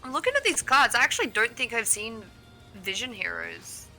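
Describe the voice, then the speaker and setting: Bright-voiced young woman with Australian accent. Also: fairly high pitch, sing-song, moderate pace, vocal fry, faint music behind, rough recording. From England. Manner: playful, laughs often, teasing. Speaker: co-caster. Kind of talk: casual game commentary chat.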